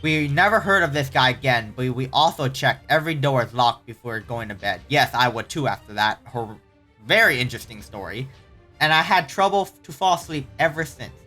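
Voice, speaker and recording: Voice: mid-range voice, animated, brisk, pushed and bright, faint music behind. A casual host reading online horror stories aloud, often stumbling and correcting the wording, with startled, exclaiming reactions in between.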